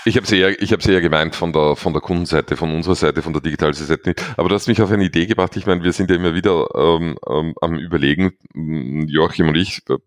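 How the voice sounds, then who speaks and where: A man's voice: sits low, steady tone, fast, few pauses, dry recording, clean studio sound. A man hosting a company podcast, talking casually with frequent repeated words. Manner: stammering repetitions. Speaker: podcast host, company manager. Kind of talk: podcast conversation.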